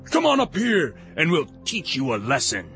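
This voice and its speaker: An actor playing an aggressive enemy soldier who shouts mocking taunts in a dramatized audio story. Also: male voice, on the high side, huge pitch swings, moderate pace, gravelly, faint music behind, heavily acted. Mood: mocking, hostile. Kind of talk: dramatized audio Bible acting.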